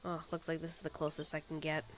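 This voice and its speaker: A woman with a slightly low voice gives casual gameplay commentary. She sounds informal and offhand, often talking to herself, with faint background noise. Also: monotone, fast talker. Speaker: gamer reacting to a story quest.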